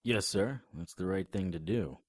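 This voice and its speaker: An actor playing a serious, plain-spoken 1930s private detective in a noir audio drama. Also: male voice, sits low, moderate pace, a little mellow, dry recording, clean studio sound. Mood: polite, firm. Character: earnest, dutiful 1930s private detective, a man. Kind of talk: noir audio drama acting and first-person narration. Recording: music behind the voice.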